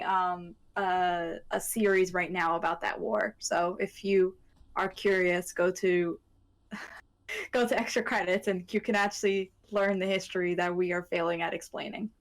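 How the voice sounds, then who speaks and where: A woman chats casually as a podcast co-host at a middling pitch. She wanders through her thoughts, breaking off and picking them up again, with plenty of "like" along the way. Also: steady tone, normal speed. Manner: rambling, self-interrupting with frequent "like". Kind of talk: casual chat.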